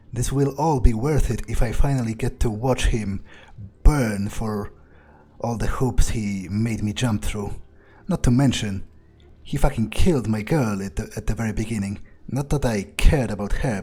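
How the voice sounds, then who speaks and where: A man roleplaying a cocky, vindictive vampire in a video game, with halting, improvised lines and free swearing. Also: lowish voice, warm tone, breathy edge. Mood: cocky, vengeful.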